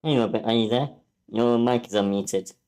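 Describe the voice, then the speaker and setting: A man's voice: medium pitch, moderate pace, warm tone, slight background noise. A man talking casually as a participant in an online meeting. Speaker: participant in an online table read.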